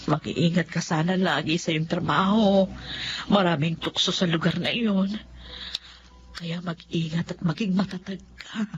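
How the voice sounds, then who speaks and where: A woman acting as a tender, fretful Filipino mother in a radio drama. She has a middle-aged, caring manner, and the sound is slightly dull with soft music underneath. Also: fairly low pitch, steady tone, measured pace, natural voice. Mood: worried. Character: doting, worried, sorrowful middle-aged mother.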